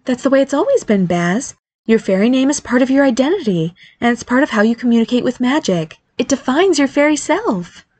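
A woman voicing an upbeat, earnest fairy mother in a storybook, coaxing her children and gently scolding them. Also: high voice, big pitch swings, a little fast, pitched above the performer's own voice. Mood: pleased, firm. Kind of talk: storybook character voice.

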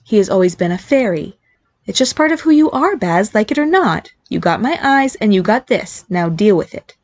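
A woman's voice: medium pitch, very animated, moderate pace, darkish tone, no echo. A woman voicing a firm fairy mother in an audiobook, insistent and stern yet sympathetic. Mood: adamant. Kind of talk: audiobook character acting.

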